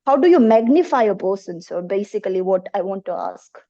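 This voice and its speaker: A woman's voice: mid-range voice, expressive, dark tone. Dull-sounding recording, woman interviewer. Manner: deferential, halting and searching when phrasing questions. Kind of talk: conversational interview questioning.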